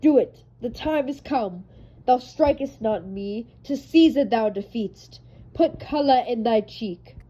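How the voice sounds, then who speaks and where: A woman performing a defeated, anguished Roman general who pleads to die, in a solemn, deliberate Shakespearean recitation. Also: a little high, animated, dark tone, slightly rough, pitched above the performer's own voice. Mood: urgent pleading. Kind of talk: dramatic recitation of a Shakespeare monologue. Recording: dull lo-fi sound.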